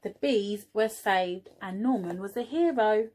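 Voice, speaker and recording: A woman reading a picture book aloud to young children, expressively, pausing for little asides to the listeners. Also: mid-range voice, darkish tone, a little hiss or hum. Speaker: early years teaching assistant reading a storybook.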